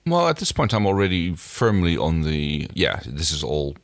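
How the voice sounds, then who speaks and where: A man's voice: very deep voice, big pitch swings, moderate pace, dry recording. A man co-hosting a podcast, chatting casually about a TV episode and thinking aloud as he goes. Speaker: podcast co-host. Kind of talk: casual chat recapping a TV episode.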